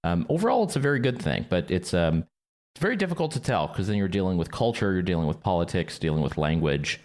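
Deep-voiced man musing aloud. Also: very animated, fast, darkish tone. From Quebec, Canada. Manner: thinks aloud, wandering asides with light chuckles. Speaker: podcast co-host. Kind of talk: casual discussion.

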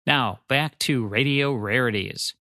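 A man's voice: mid-range voice, unhurried, heavy background noise. A man, a radio host, reading a scripted promotional announcement with polished delivery.

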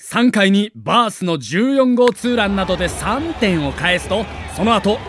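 A man's voice: sits high, very animated, normal speed, over music. A man with a Kansai accent narrating an audio sports documentary from a script in a theatrical storytelling manner. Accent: Kansai Japanese.

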